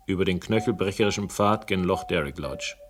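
A man playing a brooding investigator who narrates a radio crime drama in an atmospheric, deliberate, literary manner. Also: deep voice, lightly acted. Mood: ominous. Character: brooding male investigator narrating his noir adventure. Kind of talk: scripted first-person radio crime narration.

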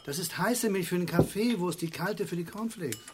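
Pompous, high-pitched father. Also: expressive, a little fast, a little hiss or hum. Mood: impatient. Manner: pompous, lecturing, sarcastic. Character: pompous, nagging middle-aged father at the breakfast table. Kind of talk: naturalistic German radio play acting.

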